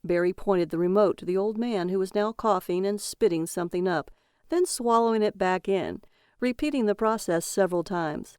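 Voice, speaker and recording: A woman's voice: mid-range voice, normal speed, a little mellow, clear, resonant tone, no room sound, clean studio sound, natural voice. A woman voicing an easygoing, childish husband who sulks like a hurt little boy. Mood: calm.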